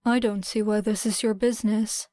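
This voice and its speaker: A woman voicing an aloof, stoic teenage boy in a flat, detached manner for an audiobook. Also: mid-range voice, normal speed, no echo, lightly acted.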